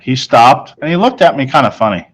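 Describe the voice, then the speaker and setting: A man's voice: medium pitch, very animated, a little fast, breathy edge. A man reading a children's novel aloud as its first-person narrator. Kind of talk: read-aloud story narration.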